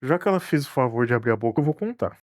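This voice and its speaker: A man playing a teasing, self-protective cruise captain in an animated mystery, colloquial and Brazilian-accented. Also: medium pitch, rapid-fire, no echo, clean studio sound, natural voice. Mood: confessing. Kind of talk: animated murder-mystery dialogue.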